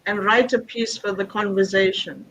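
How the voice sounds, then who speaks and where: A man's voice: high-pitched, steady tone, normal speed, fairly bright tone, slight background noise, rough recording. Encouraging man restarting his words. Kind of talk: conference remarks.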